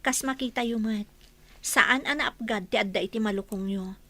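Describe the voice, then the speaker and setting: A woman's voice: a little high, normal speed, a bit bright, no echo. A woman acting a dutiful young household cook who stands up for herself, in an Ilocano radio comedy drama. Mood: earnest pleading.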